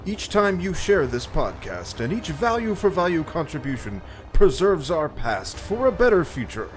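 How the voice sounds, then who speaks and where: A man's voice: fairly high pitch, very animated, normal speed, soft background music. A man acting in an audio drama as a roguish starship captain, with a wry, sardonic delivery. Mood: grand, hearty. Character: cocky roguish male starship smuggler captain. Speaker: narrator and lead actor.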